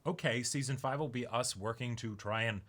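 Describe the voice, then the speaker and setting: A man co-hosting a reaction show, discussing things casually and often checking in with a quick tag question. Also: medium pitch, over a noisy crowd. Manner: checks in with frequent "right?". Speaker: co-host of an anime reaction show.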